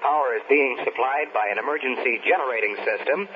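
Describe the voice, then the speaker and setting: A man's voice: medium pitch, brisk, close to an everyday voice. Actor as a phone-line radio field reporter, male. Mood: sober, urgent. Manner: formal, factual. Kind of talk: 1970s radio news field report, dramatized. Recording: thin phone-like field link, faint noise.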